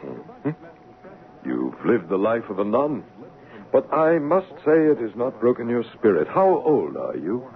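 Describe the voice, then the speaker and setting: An actor playing a dour, commanding and curt master of a great house in a 1970s radio drama. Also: male voice, mid-range voice, wildly swooping pitch, a little slow. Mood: curt, probing. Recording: tinny band-limited old radio recording.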